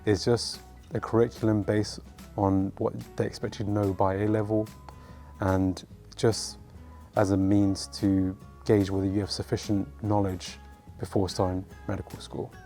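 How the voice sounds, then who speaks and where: A man from England, a medical student, calmly giving matter-of-fact advice in an interview. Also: on the low side, normal speed, soft background music. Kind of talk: interview answers.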